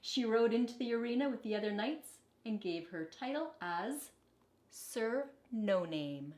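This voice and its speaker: Woman doing storytime for kids, slow n super animated, echoey room. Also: a little high. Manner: expressive, deliberate phrasing for children. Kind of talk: children's picture book read-aloud. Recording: echoey, roomy sound.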